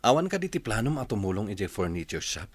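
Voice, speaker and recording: Male actor, firm family man, Ilocano accent, low rough voice, earnest, admonishing, radio drama. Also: very animated, quick pace. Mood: stern, urging. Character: firm, patient family man urging a slacker to work.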